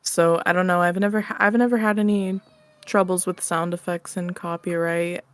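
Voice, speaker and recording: A woman's voice: on the low side, steady tone, normal speed. A woman chatting casually and informally as an interview guest. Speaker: interview guest, audio roleplay creator. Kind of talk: casual chat.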